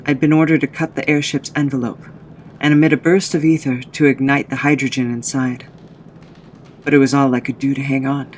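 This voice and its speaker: A man's voice: medium pitch, little pitch movement, brisk, a little hiss or hum, close to an everyday voice. A man playing a guilt-ridden man who recounts his tragic past in a brooding, remorseful dramatic monologue. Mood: grim, tense. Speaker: narrator of own backstory.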